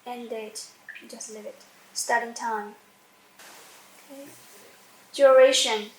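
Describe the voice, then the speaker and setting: An echoey recording of a woman with a slightly high voice presenting a software tutorial. She speaks slowly and haltingly, step by step, often repeating a phrase while she finds the next word. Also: fairly even intonation. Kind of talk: unscripted step-by-step software demonstration. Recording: echoey room with faint background noise.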